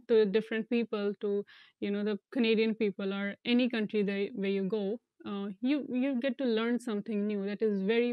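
A young woman from India, an international student, speaking earnestly in an interview with some halting restarts, on a lapel mic. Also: medium pitch, clear, resonant tone, no echo, muffled recording. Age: young adult. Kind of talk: casual interview talk.

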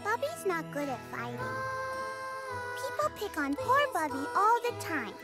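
A woman's voice: very high-pitched, sing-song, a little slow, exaggerated voice. A woman voicing a small, adoring little sister in a video game, with cutesy, childlike baby talk. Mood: timid. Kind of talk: anime-style video game voice acting. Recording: music under the voice.

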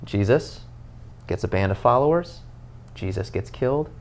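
A man in his thirties, a pastor, talking through a passage on a couch in an earnest, animated way, with lots of "you know". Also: medium pitch, moderate pace. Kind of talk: casual podcast conversation. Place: living room, on a couch, indoors.

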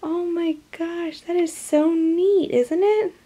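A woman reading a storybook aloud to young children in an expressive, animated way, with hushed asides. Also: extremely high pitch, slow pace, a little hiss or hum. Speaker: storybook reader.

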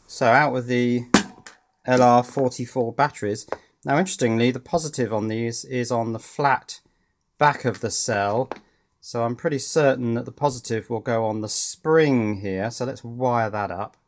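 A man's voice: medium pitch, moderate pace, a little hiss or hum. A man giving casual, thinking-aloud commentary as he takes apart and repairs a toy at his workbench, with a dry, wry manner. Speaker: electronics hobbyist presenter. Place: indoors at a workbench.

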